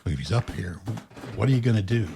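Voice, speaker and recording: An actor playing a level-headed, skeptical friend in an amateur radio horror drama. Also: male voice, lowish voice, animated, brisk, gravelly, music in the background. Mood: calm, wary.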